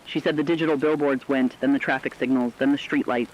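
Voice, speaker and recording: A man playing a solemn commentator recounting a catastrophe in scripted radio narration, deliberate, literary and emphatic. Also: medium pitch, fairly even intonation, lo-fi sound, lightly acted.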